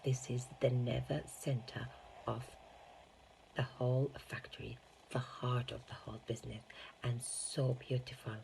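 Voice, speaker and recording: A woman's voice: very deep voice, steady tone, measured pace, creaky, warm tone, a little hiss or hum, exaggerated voice, low-quality recording. A woman voicing a proud, fussy male chocolate factory owner in an emphatic, cautioning way for a storybook reading.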